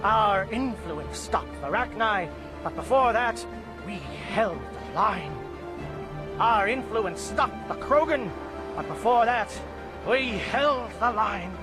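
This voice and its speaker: Alien military captain, solemn, formal measured oratory, higher-pitched male voice, loud game music behind. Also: animated. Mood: rousing. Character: solemn alien commando captain rallying his soldiers. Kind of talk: video game cutscene acting.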